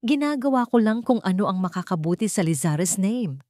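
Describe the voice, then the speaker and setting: A woman's voice: medium pitch, expressive, a little fast, darkish tone, dry recording, clean studio sound, close to an everyday voice. A woman voicing an imperious, scolding, wealthy older mother in an audio drama, with a Filipino accent. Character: domineering, indignant rich older mother.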